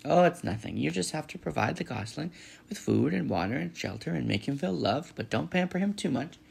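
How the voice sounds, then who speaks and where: A man voicing an old gray female goose in a storybook, brisk, scolding and bossy, with indignant, emphatic squawking. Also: a little high, expressive, creaky, slightly rough, pitched above the performer's own voice, full character voice. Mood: brisk, advising.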